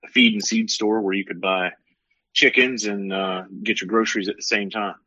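A Southern man in his forties talks in a forceful, husky voice, spinning easygoing jokes and stories as a guest. The sound is dry and a little dull. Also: on the low side, steady tone, normal speed, no room sound. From South Carolina. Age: 40s. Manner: folksy, joking storyteller. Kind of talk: casual interview chat.

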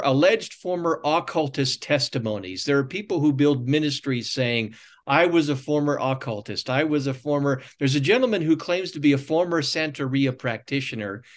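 A man hosting a podcast interview, setting up his questions in a long, rambling, self-correcting way. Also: mid-range voice. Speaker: podcast host.

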